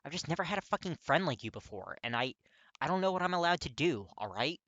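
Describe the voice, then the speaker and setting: A man voicing a gruff, hot-tempered young man awkwardly confessing his feelings in an audiobook, blunt, halting and strained. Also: a little high, very animated, fairly bright tone, no room sound, highs cut off, pitched above the performer's own voice, heavily acted. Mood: frustrated. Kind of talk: dramatic audiobook character reading.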